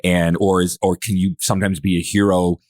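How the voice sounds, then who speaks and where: A man's voice: low voice, level tone, fairly quick, darkish tone, dry recording, clean studio sound. A man posing questions in a casual podcast discussion, rambling and circling toward his point.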